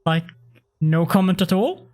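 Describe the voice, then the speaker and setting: Slow-talking man streaming a game. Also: sits high, darkish tone. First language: Norwegian. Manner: chatty, hesitant, lots of fillers and restarts, chuckles often. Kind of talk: casual live-stream chat while playing.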